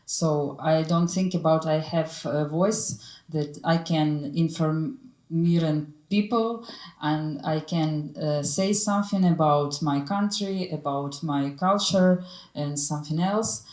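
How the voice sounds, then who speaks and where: A woman from Ukraine speaks on a panel in careful, halting English, pausing often with fillers. Her voice sits low and mellow, and the pace is unhurried. The sound carries the echo of a large room. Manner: halting non-native English, many fillers. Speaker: panelist, photographer. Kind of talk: panel discussion.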